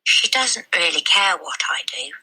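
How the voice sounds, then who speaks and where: A woman's voice: fairly low pitch, sing-song, unhurried, sharp tone, breathy edge, full character voice, rough recording. A woman voicing a tiny bookish young girl, earnest and simple, in a children's storybook reading. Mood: quietly sad. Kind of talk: children's storybook read-aloud.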